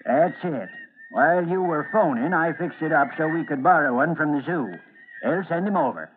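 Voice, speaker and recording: A man's voice: on the high side, animated, normal speed, natural voice. An actor playing a folksy, cocky old carnival animal man, teasing and rambling, in old-time radio drama style. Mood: scheming, confident. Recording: tinny, noisy old radio recording.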